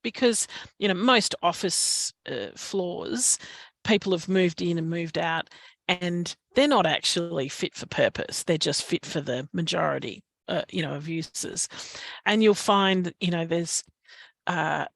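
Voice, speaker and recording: An Australian woman giving advice in an online Q&A, hesitant with many restarts, her voice going hoarse. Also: fairly low pitch, measured pace, no echo. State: losing her voice, coughing. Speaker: guest speaker on climate reporting. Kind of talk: online webinar Q&A.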